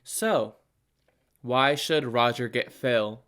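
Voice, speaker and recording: A young student playing a smooth, nosy talk-show host doctor in a radio play. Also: medium pitch, extremely slow, fairly bright tone. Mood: showy, steering. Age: teenager or young adult student.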